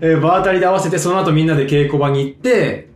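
A man's voice: on the high side, fast, roomy sound. A man chatting casually as co-host of a talk show. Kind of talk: casual chat.

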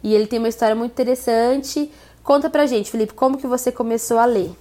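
A woman's voice: fairly high pitch, fast talker, warm tone. Chatty, upbeat woman hosting a podcast. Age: around 30. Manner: chatty, upbeat, addresses listeners directly. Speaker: podcast host. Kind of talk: casual podcast interview.

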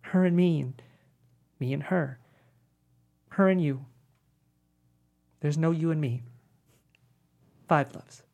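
A man's voice: on the high side, slow pace, vocal fry, long pauses, a little mellow, highs cut off. A man playing a wry, tender dying man. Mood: tender, wistful. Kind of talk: dramatic table read of a screenplay.

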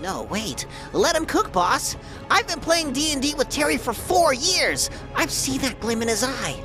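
A woman playing an excitable, loyal gamer sidekick with dramatic delivery in an animated comedy. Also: sits high, a little slow, over music. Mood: earnest, intrigued. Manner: dramatic, wide-swinging delivery.

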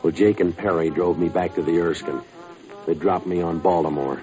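Hardboiled weary American musician played by a male actor, very deep, clipped radio-drama delivery, dull vintage sound with music underneath. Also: moderate pace, natural voice. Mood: weary, matter-of-fact. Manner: terse, deadpan, clipped sentences. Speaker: lead actor and narrator. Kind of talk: 1950s radio crime drama acting.

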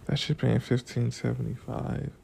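A man's voice: mid-range voice, flat delivery, normal speed, creaky, a little mellow, husky. A young man from Florida with a groggy morning voice, rambling casually and profanely about work and money. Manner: loose, profane, drifts between stories and life lessons. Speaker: vlogger.

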